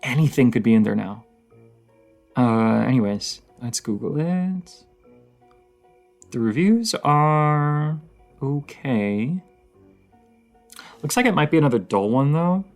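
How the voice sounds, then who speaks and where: A man playing a dorky, playful partner in an intimate audio roleplay, rambling, giggly and teasing, with a slightly creaky voice. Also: mid-range voice, slow pace, long pauses, soft background music. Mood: nerdy, casual. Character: dorky, playful young romantic partner, horror film nerd.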